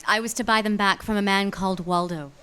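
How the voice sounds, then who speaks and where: A woman's voice: mid-range voice, steady tone, a little fast, sharp tone, clear, resonant tone, lightly acted. An actress as a guarded mystery woman. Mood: secretive. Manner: terse, guarded, urgent. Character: mysterious, anxious married woman in trouble. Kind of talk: noir radio drama acting.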